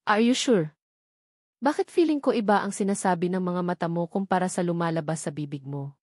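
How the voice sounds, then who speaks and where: A woman's voice: on the low side, fairly quick, darkish tone, dry recording, clean studio sound, natural voice. A woman with a Filipino accent voicing a kind, insistent male boss in an audiobook romance. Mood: coaxing, serious.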